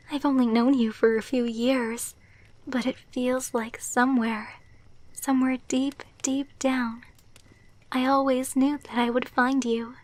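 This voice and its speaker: A woman voicing a tender, devoted vampire girlfriend in an ASMR roleplay, speaking softly and intimately, often near a whisper, close to the mic. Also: high-pitched, no room sound. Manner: soft, intimate, breathy delivery, often dropping to whispers. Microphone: close microphone, dry.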